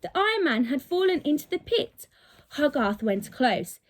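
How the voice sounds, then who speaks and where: A woman with a fairly high-pitched voice reads a children's storybook. Her delivery is expressive and emphatic, with the dramatic shaping of a teacher telling a story to young pupils. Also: moderate pace.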